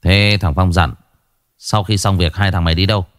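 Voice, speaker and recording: A man voicing a blunt male police investigator who grills captured thugs in a gruff, coarse way. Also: low voice, fairly even intonation, normal speed, no echo, studio quality, natural voice. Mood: stern grilling. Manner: gruff interrogating, coarse-spoken.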